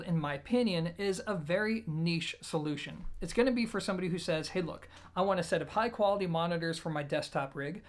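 A man, giving a conversational, emphatic product review to camera in an explanatory, informal way. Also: high-pitched. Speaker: audio gear reviewer and host. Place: small indoor room, plain wall.

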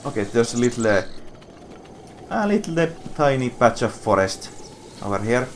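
A man streaming a game, thinking aloud in slow, musing casual commentary while he is losing his voice. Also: medium pitch, very animated, a little hiss or hum. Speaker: gameplay streamer. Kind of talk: casual let's-play commentary.

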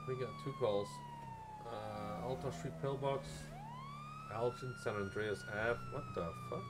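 A man's voice: mid-range voice, huge pitch swings, slow pace. A man chatting casually in character as a paramedic in online game role-play. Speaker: live streamer role-playing as a paramedic. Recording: game sirens and vehicle noise behind.

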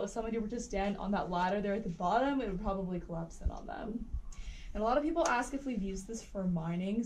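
A woman's voice: mid-range voice, darkish tone, a little hiss or hum. Tour guide woman heard from afar. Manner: chatty and informative, light laughs. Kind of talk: guided tour talk to a group. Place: inside a large cave. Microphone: camera held by a visitor some distance away. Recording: echoey cave, voice from far off.

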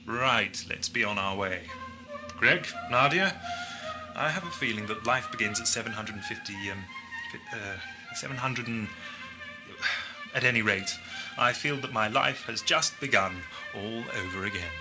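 A man's voice: medium pitch, unhurried, music in the background, natural voice. A man playing a genial, absent-minded time-travelling Doctor in a sci-fi audio drama, musing and hesitant. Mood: cheerful, wistful. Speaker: actor. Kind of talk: 1980s amateur sci-fi audio drama acting. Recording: noisy old cassette audio drama.

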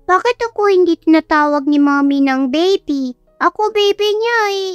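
A woman's voice: normal speed, no echo, dull audio, soft background music. A woman voicing an innocent, pouty little girl in a story, with a childlike voice and a Filipino accent. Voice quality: childlike, high.

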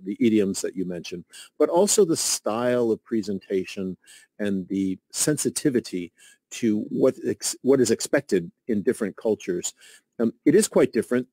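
A man hosting a radio interview in a studio, measured and thoughtful with frequent fillers. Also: on the low side, warm tone, no room sound. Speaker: radio host. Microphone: studio microphone with headphones.

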